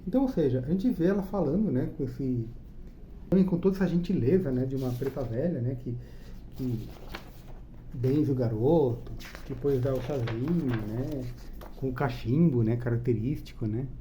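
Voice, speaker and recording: A man's voice: medium pitch, normal speed, warm tone, slight background noise, poor audio. A Brazilian man with a Brazilian Portuguese accent hosting his own channel, giving a chatty, enthusiastic review of a comic book and checking in with the listener with frequent tag questions. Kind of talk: casual spoken review.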